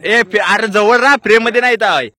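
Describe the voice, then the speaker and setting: A man's voice: sits high, quick pace, bright, forceful tone. A man with a Maharashtrian Marathi accent, chatting casually as a vlogger to camera and to friends. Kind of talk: casual chat and vlog commentary.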